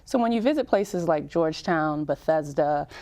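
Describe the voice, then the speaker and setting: A woman in her thirties from Cameroon, speaking as a mayor in an interview in a measured, explanatory way with emphatic lists. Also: low voice, animated, a little mellow. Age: 30s. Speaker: town mayor, interview guest. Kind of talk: public-affairs interview.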